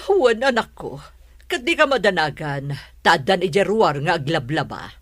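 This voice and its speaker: A woman playing a sharp-tongued, scheming middle-aged mother-in-law in an Ilocano-accented radio drama, sarcastic and exclamatory. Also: lowish voice, big pitch swings, normal speed, no room sound, studio quality. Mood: sly, reassuring.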